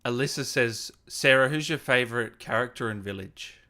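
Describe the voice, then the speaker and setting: A man's voice: medium pitch, normal speed, sharp tone. A man hosting an informal, chatty interview, putting questions to a guest and reading out messages. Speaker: podcast host, interviewer.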